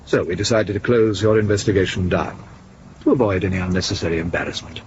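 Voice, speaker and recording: An actor playing an urbane, evasive secret service officer in a radio drama, drily condescending and smug. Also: male voice, low-pitched, fast talker, a little mellow, dull audio, a little hiss or hum, close to an everyday voice. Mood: amused, unrepentant.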